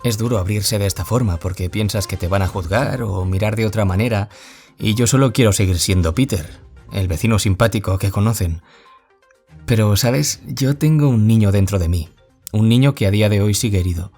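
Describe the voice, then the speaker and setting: Actor as a low-voiced, wounded young man. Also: a little mellow, soft background music. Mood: earnest, vulnerable. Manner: confessional, reflective, measured. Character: gentle, wounded young man, former bullying victim turned author. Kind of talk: audio drama acting as a radio interview guest.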